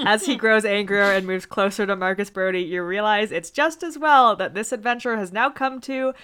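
A woman's voice: mid-range voice, animated, moderate pace, fairly bright tone, a little hiss or hum. A woman on a podcast casually retelling scenes from a book in a wry, blunt way. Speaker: podcast panelist.

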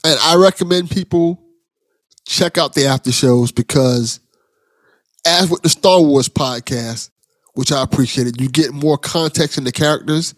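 A man's voice: fairly high pitch, measured pace, no room sound. A man hosting a casual podcast interview in an enthusiastic, encouraging way. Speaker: podcast host.

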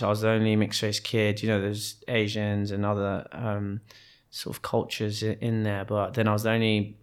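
A man's voice: lowish voice, fairly bright tone. A man born in Kenya, a podcast guest, telling his life story in a hesitant, reflective way with many restarts and fillers.

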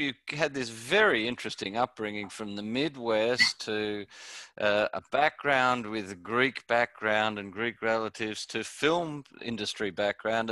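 A man hosting an interview, asking halting questions full of fillers and reacting with enthusiasm. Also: medium pitch, pushed and bright, dry recording. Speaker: interview host. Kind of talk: casual interview chat.

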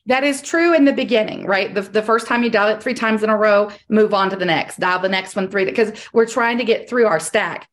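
A woman's voice: medium pitch, a little fast, hardly any pauses. A woman coaching salespeople on a group call, direct and emphatic, repeating her key points, and losing her voice.

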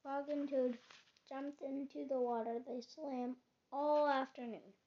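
A child reading a children's storybook aloud in a halting, stumbling way. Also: high-pitched, steady tone, dark tone. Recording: dull lo-fi recording.

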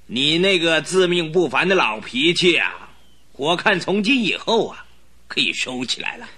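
A pompous old duke. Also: male voice, a little high, very animated, moderate pace, pushed and bright. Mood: condescending. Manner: pompous, blustering, scolding. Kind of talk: radio drama acting.

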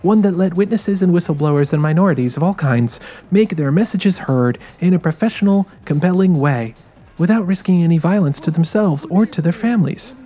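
Man as a musing narrator, slightly high voice. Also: expressive, a little fast, mellow. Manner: musing, confiding. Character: determined, rueful young woman narrating her noir-like misadventure. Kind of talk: first-person dramatized scripted narration.

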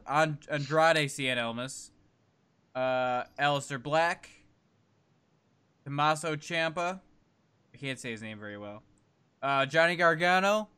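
A man's voice: a little high, bright, forceful tone, clear, resonant tone. A man hosting a casual podcast chat, leading the segments and reeling off lists of names. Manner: leads the segments, reels off names.

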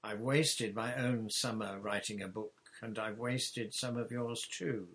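A blustering middle-aged pirate, voiced by a man reading aloud, talks in a husky, hearty way. He sounds boisterous and full of bluster. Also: on the low side, fairly even intonation, a little slow, fairly bright tone, exaggerated voice. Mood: contrite, hearty. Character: gruff middle-aged male retired pirate.

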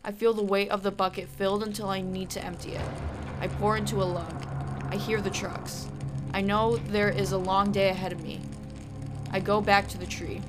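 A woman's voice: mid-range voice, little pitch movement, normal speed. A young adult woman co-hosting a podcast, chatting casually and reading scary stories aloud. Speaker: podcast co-host. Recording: music under the voice. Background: background music.

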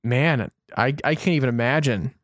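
A man's voice: medium pitch, moderate pace, no room sound, studio quality. A man co-hosting a fan podcast, speaking casually in an earnest, conversational way. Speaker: podcast co-host.

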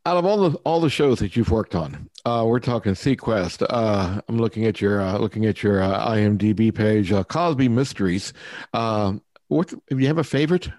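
A man hosting a podcast, asking questions in a friendly, halting way with many fillers. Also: on the low side, lively intonation, darkish tone, no room sound. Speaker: podcast host. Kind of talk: interview questions.

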